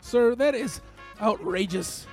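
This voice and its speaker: A man co-hosting a podcast with casual, jokey banter, his voice slightly raspy from being under the weather. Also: sits high, takes it slowly, faint music behind. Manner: playful, jokey. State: recovering from illness, slightly raspy. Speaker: podcast co-host.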